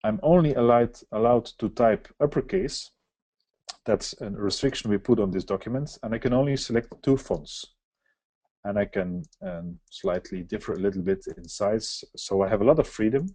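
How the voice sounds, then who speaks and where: Man for a webinar software walkthrough; explanatory, mellow tone, dry close sound. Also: lowish voice, lively intonation, moderate pace, no echo. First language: Dutch. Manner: explanatory, walks through on-screen steps. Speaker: software presenter. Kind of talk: live software demo webinar.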